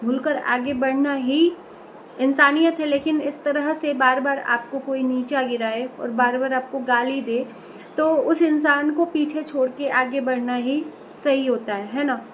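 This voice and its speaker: A woman with a high voice shares her reaction with her followers, earnest and opinionated. She often stops mid-word to correct herself and starts over. A faint background hum sits under her voice. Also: fairly even intonation, a little slow, poor audio. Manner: earnest, opinionated, addresses viewers directly; many fillers and self-corrections. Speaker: reaction vlogger. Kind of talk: direct-to-camera commentary.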